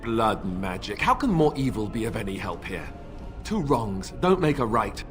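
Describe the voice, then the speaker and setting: A man's voice: lowish voice, big pitch swings, moderate pace, music in the background. A man voicing a young warrior companion in a video game, disapproving and a bit wry. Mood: wary.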